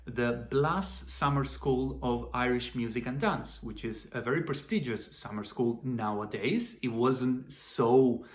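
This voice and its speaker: Argentine man, 40s, native Spanish speaker, relaxed personal vlog storyteller, mid-pitched voice. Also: measured pace, hardly any pauses. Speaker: vocal percussion teacher.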